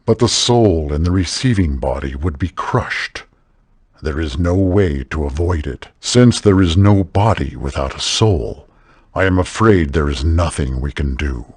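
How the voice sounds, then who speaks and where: A man playing a solemn, formal, knowing otherworldly guide in a video game, with a husky, measured, grave delivery. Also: a bass voice, animated, no echo, heavily acted.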